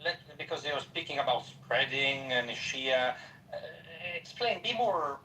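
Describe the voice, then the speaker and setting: A man's voice: mid-range voice, expressive, a little slow, bright, forceful tone, reverberant. A man in a heated podcast debate who interrupts and keeps repeating himself insistently. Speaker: podcast panelist. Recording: distant mic, faint background noise.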